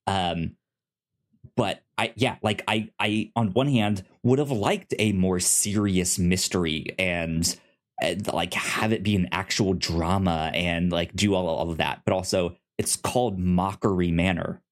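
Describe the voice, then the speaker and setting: A man with an American accent and a fairly low voice talks casually as a podcast co-host. He is halting and rambling, often stammering over words as he works through his thoughts. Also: steady tone. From United States. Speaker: podcast co-host reviewing audio fiction. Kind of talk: casual review discussion.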